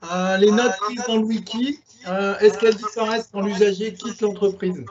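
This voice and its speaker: A man breaks in with a hesitant question, leaning on "euh" fillers. His voice is quite high for a man. He sounds distant and muffled, with a clear murmur of voices behind him. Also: steady tone, moderate pace, a crowd in the background. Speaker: co-host asking questions. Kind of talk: question during a presentation.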